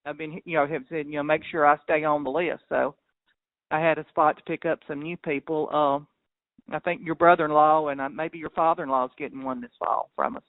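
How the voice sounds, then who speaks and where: A man's voice: fairly high pitch, fairly quick, dry recording. A man who farms cattle, chatting casually and ramblingly on a phone call in a plain-spoken, folksy way, over a tinny line. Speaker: local cattle farmer.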